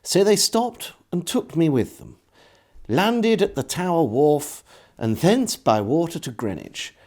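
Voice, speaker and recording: A man reading an old diary aloud as a storyteller, in measured phrases with deliberate pauses. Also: on the high side, very animated, darkish tone. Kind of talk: scripted literary narration of an old diary.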